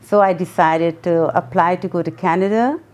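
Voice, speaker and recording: A woman in her sixties from Guyana, answering interview questions earnestly, pausing often with ums. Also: fairly low pitch, darkish tone. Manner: earnest, with many pauses and ums. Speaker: guest, memoir author.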